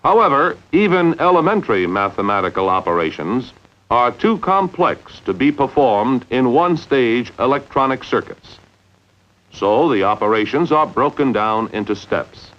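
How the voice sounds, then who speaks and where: Dull vintage film recording, expressive male narrator. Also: mid-range voice, moderate pace. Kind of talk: scripted instructional narration, 1950s training film. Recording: dull, lo-fi 1950s film soundtrack.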